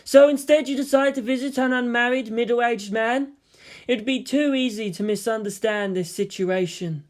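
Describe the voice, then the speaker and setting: Man voicing a high, sly female phantom. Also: normal speed. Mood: teasing.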